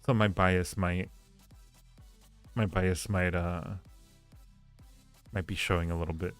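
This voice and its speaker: A man hosting a casual livestream, musing aloud in fragments as he talks with viewers in a gravelly voice. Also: steady tone, takes it slowly, faint music behind. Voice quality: low-pitched, gravelly creak. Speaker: livestream host reading viewer chat.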